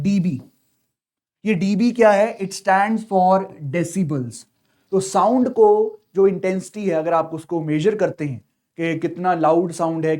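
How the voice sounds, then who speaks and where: A man's voice: sits high, a little mellow. A man with an Indian accent giving an explanatory lecture as an exam teacher, posing questions and then answering them in a didactic way. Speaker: civil services exam teacher.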